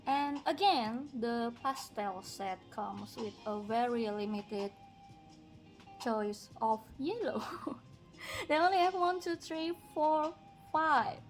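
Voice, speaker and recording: Soft-spoken woman from indonesia, english not her first language, slow thinking-out-loud coloring chat, music playing under her. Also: on the high side. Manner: slow, musing, talks while working, light laughs. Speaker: coloring livestreamer. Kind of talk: relaxed coloring livestream chat. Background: background music.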